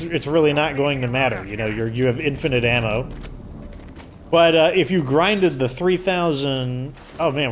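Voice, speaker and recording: Hoarse male gamer, casual rambling commentary, game audio clearly audible behind. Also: medium pitch, slight background noise. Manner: rambling, filler-heavy explaining. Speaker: let's play host.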